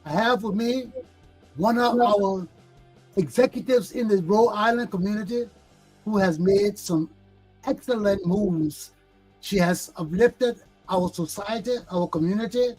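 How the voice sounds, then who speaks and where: A man hosting an interview show, speaking in a formal, deliberate and ceremonious way. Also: high-pitched, a little mellow, husky, rough recording. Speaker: talk show host.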